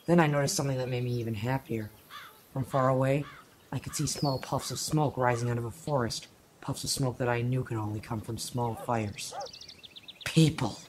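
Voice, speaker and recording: A narrator telling a scripted first-person story for an amateur radio play, in a dramatic, deliberate way. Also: medium pitch, steady tone, background noise. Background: music.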